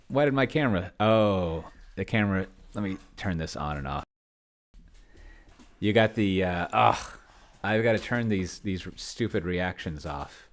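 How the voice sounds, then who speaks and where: A middle-aged man thinking aloud in casual commentary while playing a tabletop game at home, halting and muttering to himself as he goes. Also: deep voice. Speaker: host of a solo roleplaying video. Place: at home, at a computer.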